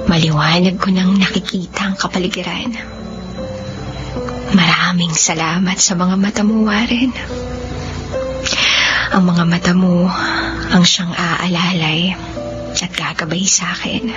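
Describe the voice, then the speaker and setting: A woman playing a tender, devoted young widow in a Filipino radio drama, speaking with tearful, breathy earnestness. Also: fairly low pitch, fairly even intonation, unhurried, long pauses, close to an everyday voice. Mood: tender, wistful. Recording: dull lo-fi radio drama, music underneath.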